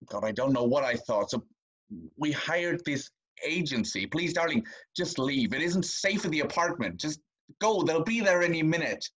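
A man's voice: fairly high pitch, animated, moderate pace, fairly bright tone. A young man playing a guilty, anxious, evasive cheating husband in a video-call play. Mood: anxious, urgent. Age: young adult. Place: small room indoors. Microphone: video call, webcam. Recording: dull lo-fi video-call audio.

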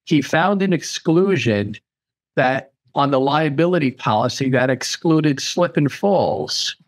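A man's voice: fairly high pitch, animated, unhurried, no echo. Earnest man with a dry, close sound. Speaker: insurance agent, guest. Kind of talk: conversational interview.

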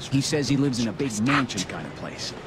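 A man's voice: medium pitch, lively intonation, a little fast, close to an everyday voice. A man playing a skeptical asylum figure in conversational video game acting. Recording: quiet voice in noisy ambience.

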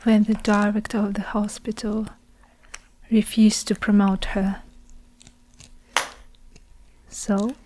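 A young woman narrating a story in a hushed ASMR whisper, deliberately and with long pauses. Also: medium pitch, level tone, poor audio. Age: young adult.

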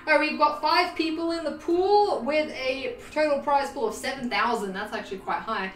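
A young Australian woman chatting to her livestream viewers, animated and self-mocking, laughing often. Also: sits high, normal speed. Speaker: livestream host. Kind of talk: casual livestream chat. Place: home sewing room. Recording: roomy, distant, faint music behind.

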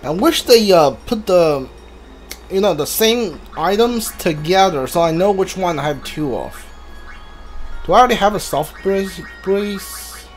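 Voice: medium pitch, expressive, unhurried. Streamer playing a game, mumbling thru choices, voice moves up and down, music behind. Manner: muttering to self while thinking aloud. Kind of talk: casual playthrough commentary.

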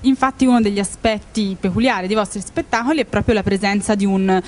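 A woman with a medium-pitched voice works as a reporter. She puts questions to her guests in the style of a news feature, speaking into a handheld microphone. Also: fast. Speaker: interviewer, reporter. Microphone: handheld interview microphone.

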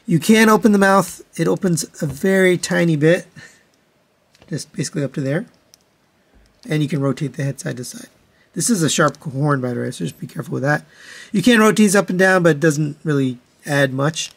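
A man's voice: on the high side, fairly quick, creaky. A man giving a casual product review as a hands-on toy reviewer, talking in a methodical, matter-of-fact way.

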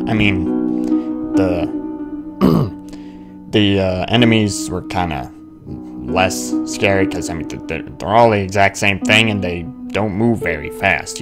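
A man with a lowish, creaky voice commentates casually on a video game. He rambles and mutters to himself at an unhurried pace, with music playing behind him. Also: expressive. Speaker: gamer hosting a playthrough.

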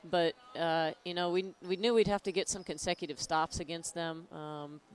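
Tired American woman coach, even intonation. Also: on the low side, moderate pace, clean tone, poor audio. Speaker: basketball coach. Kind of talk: post-game interview. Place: courtside in an indoor gym. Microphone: headset.

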